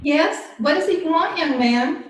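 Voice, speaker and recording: A woman's voice: high-pitched, unhurried. A woman playing a suspicious, indignant old spinster landlady in an old-time radio detective drama. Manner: nosy, curt, indignant, folksy grammar. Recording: roomy remote call, distant from mic.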